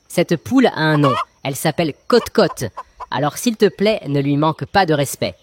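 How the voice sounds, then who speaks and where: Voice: medium pitch, lively intonation, moderate pace, background noise, natural voice. An actor playing a cheeky, eager young male hero in a children's fairy-tale cartoon, with theatrical delivery. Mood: proud, protective. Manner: theatrical, rhyming, boastful.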